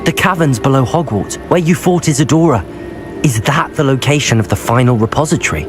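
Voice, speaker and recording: An actor playing an earnest, determined young wizard student in a video game, polite in manner. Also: male voice, mid-range voice, lively intonation, a little fast, music in the background, lightly acted. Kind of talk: video game dialogue acting.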